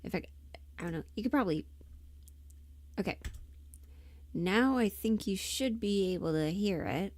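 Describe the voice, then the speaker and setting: A woman's voice: mid-range voice, expressive, normal speed, pauses often, darkish tone, no echo. A woman chatting casually on a livestream, scattered and giggly, thinking aloud as she goes, close to the mic. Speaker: livestream host and audio performer.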